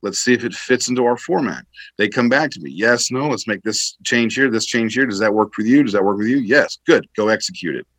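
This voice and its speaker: Male interview guest, veteran, low voice, dry close sound, emphatic conversational explainer. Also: a little fast, a bit bright, no room sound.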